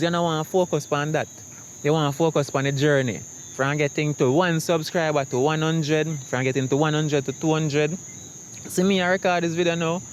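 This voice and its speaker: A man's voice: mid-range voice, a little hiss or hum, rough recording. A man with a Jamaican accent chatting casually to camera as a vlogger, reflective and optimistic in a rambling way. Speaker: YouTube vlogger.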